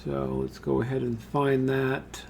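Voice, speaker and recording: A man with a noticeably creaky, fry-edged voice and a medium pitch talks through an on-screen tutorial. He is casual and informal, thinking aloud while he works and addressing viewers like buddies. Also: little pitch movement, measured pace, darkish tone, a little hiss or hum. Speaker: tutorial host. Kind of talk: informal screen-share software tutorial, talking through steps while working.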